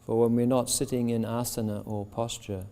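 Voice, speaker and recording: A man leading a guided meditation as a yoga teacher, speaking soothingly and deliberately in short phrases with pauses. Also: mid-range voice, flat delivery, mellow. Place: yoga shala with a seated group. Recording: long reverb of a large hall.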